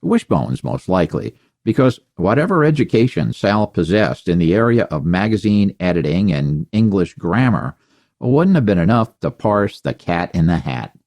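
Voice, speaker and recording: A man's voice: deep voice, big pitch swings, normal speed, darkish tone, no room sound. A man narrating an audiobook, reading scripted first-person fiction with wry humour.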